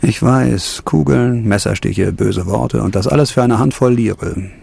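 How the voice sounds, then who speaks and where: An actor playing a bitter, sardonic, broke ex-doctor in a radio crime drama, blunt and world-weary. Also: male voice, fairly low pitch, unhurried, gravelly, warm tone, slightly rough, exaggerated voice.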